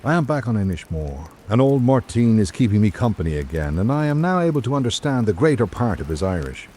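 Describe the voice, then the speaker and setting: A man playing a reflective visiting writer, reading his island journal in measured, literary radio drama narration. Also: lowish voice, very animated, warm tone, a little hiss or hum. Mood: brooding, reflective.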